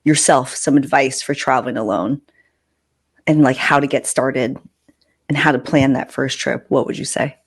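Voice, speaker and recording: A woman's voice: rumbling low, fairly even intonation, normal speed, husky. A woman hosting a podcast in casual, conversational talk, with an informal, emphatic way of speaking that repeats phrases for emphasis. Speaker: podcast host.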